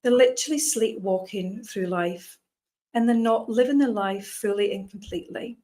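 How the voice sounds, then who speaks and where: A woman's voice: mid-range voice, normal speed, dark tone. A woman with a Scottish accent from Edinburgh gives an earnest motivational talk. She works as a meditation teacher and keeps asking her listeners rhetorical questions. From Scotland. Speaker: guest speaker, meditation teacher.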